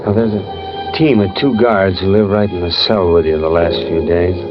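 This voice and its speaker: A man's voice: low-pitched, moderate pace, natural voice. An actor playing a lonely, fearful condemned man, narrating his last hours in plainspoken, brooding first person for a vintage radio drama. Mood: grim, reflective. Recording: muffled old radio recording with music.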